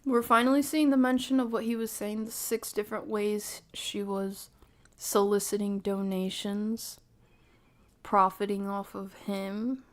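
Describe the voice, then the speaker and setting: A woman streamer giving casual reaction commentary, measured and hedging as she thinks aloud. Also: medium pitch, fairly even intonation.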